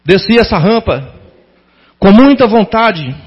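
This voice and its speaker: A middle-aged Brazilian man delivering a prepared public tribute in a formal, sentimental, deliberately phrased way. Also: high-pitched, sing-song, breathy edge. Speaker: former pupil giving a tribute to a teacher. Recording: dull lo-fi sound.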